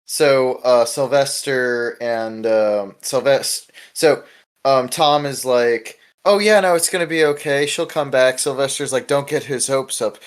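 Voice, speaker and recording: A man's voice: mid-range voice, measured pace, smooth. A man hosting a casual discussion podcast, talking in an informal, rambling way with plenty of swearing. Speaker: podcast host.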